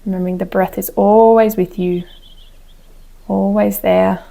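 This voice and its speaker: Female meditation guide. Flat, steady melody, noticeable vocal fry, gentle and unhurried delivery. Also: mid-range voice. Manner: gentle, unhurried instruction with soft pauses. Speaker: yoga and meditation teacher. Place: outdoors on a wooden deck. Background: chickens and a rooster.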